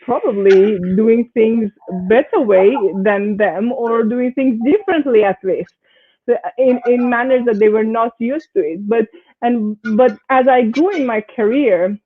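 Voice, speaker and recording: A woman's voice: fairly high pitch, measured pace. Woman from Iran on tinny call audio. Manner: hesitant, many fillers and restarts. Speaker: panelist. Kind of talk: panel discussion.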